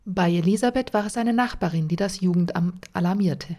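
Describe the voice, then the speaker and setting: Low, dark-voiced female narrator. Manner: even, measured reading. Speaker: documentary narrator. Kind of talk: scripted narration. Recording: faint music underneath.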